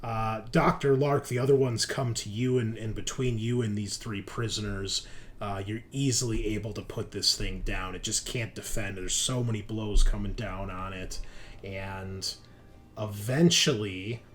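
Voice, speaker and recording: A man improvising tabletop roleplaying narration as game master, in a halting, emphatic storytelling manner. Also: on the low side. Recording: steady background noise.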